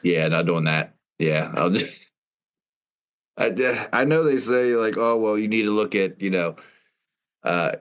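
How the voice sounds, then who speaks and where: A man in his thirties hosts a casual podcast chat, loud and self-deprecating. His voice is very deep and carries easily. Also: lively intonation, slow pace, pauses often, clear, resonant tone. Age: 30s. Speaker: podcast host.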